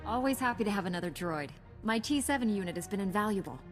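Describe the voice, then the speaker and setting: A woman's voice: medium pitch, expressive, quick pace, soft background music, natural voice. A woman playing a friendly, courteous Jedi heroine in a video game, polite and easygoing. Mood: pleasant. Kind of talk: scripted video game dialogue.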